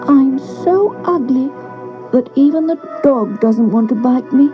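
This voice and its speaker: A woman's voice: high voice, animated, unhurried, dull audio, music in the background, voice pushed up. A woman voicing a timid, wistful little duckling in a plaintive, earnest storybook style. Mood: mournful. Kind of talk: storybook character voice.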